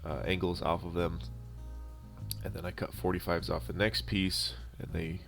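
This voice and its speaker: A man narrating a DIY prop-building tutorial in a casual, unscripted and halting way. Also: low-pitched, little pitch movement, vocal fry, fairly bright tone. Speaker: DIY prop-making video host. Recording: instrumental music behind the voice. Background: music.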